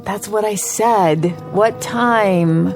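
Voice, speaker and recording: A spiteful, gravelly sheriff's wife. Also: female voice, medium pitch, drawn-out words. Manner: harsh, spiteful. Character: bitter vengeful sheriff's wife. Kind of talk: radio drama acting. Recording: radio drama with music.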